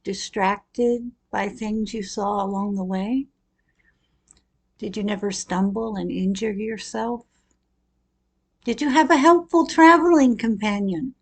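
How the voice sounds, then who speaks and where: A middle-aged woman giving a prepared personal talk as a storyteller, with deliberate, expressive and reflective delivery. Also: fairly high pitch. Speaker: speaker telling her own life story.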